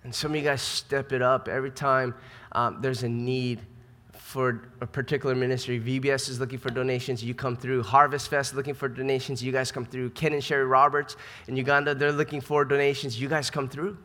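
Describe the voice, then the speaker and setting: A man's voice: medium pitch, flat delivery, brisk, sharp tone. An American man preaching a sermon as a pastor, speaking in an earnest, conversational way with emphatic repetition. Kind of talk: sermon to a church congregation. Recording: reverberant hall sound.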